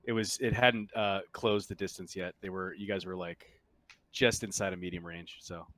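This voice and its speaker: A man's voice: sits low, steady tone, moderate pace, fairly bright tone. A man running a tabletop roleplaying game, narrating and explaining casually to his players as game master.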